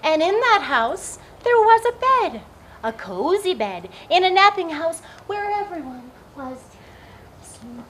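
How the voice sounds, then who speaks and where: High-voiced, slow woman reading to children. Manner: expressive, hushed emphasis, addressing small children. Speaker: children's story-time host. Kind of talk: children's read-aloud and chat. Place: public library story-time room. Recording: noisy room recording.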